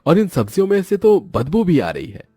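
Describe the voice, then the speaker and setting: An upright, worried family man, acted by a male reader pleads and scolds earnestly in a cartoon tale. His pitch swings widely over a music bed. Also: wildly swooping pitch, moderate pace, mellow, breathy edge, dry recording, exaggerated voice. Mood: alarmed, reproachful. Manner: earnest, reproachful.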